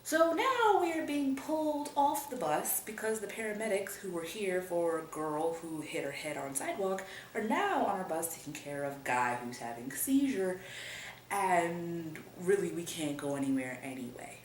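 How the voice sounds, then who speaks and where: A young adult woman telling a personal story in a casual, animated way, laughing now and then. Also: on the low side, moderate pace, recorded from a distance. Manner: animated, laughing often, lively emphasis. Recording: roomy echo, voice a bit off-mic, faint room noise.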